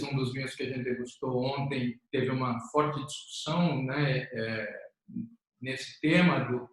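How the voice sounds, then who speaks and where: A man with a Brazilian Portuguese accent, hosting a wine interview in a relaxed, rambling way. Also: mid-range voice. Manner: relaxed, rambling, many fillers. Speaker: wine magazine host. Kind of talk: casual interview chat. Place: office room. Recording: very echoey room.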